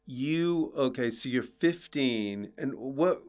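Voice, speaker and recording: A man's voice: mid-range voice, expressive, slow pace. A man hosting a podcast, casually interviewing with short questions and joking interjections. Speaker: podcast host.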